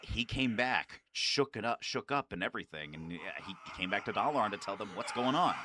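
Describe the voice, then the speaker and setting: A man's voice: lowish voice, lively intonation, a little fast, bright, forceful tone, a little hiss or hum. A man giving casual, nerdy commentary as a gaming video creator, rambling and swearing with enthusiasm. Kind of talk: casual, nerdy commentary on favorite game lines.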